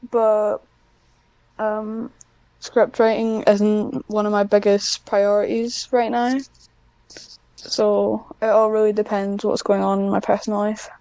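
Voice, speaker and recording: A woman's voice: mid-range voice, a little mellow, clean tone, no room sound, rough recording. A young woman chatting casually as an interview guest, with a self-deprecating, dryly joking manner. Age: young adult. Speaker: podcast guest, script writer.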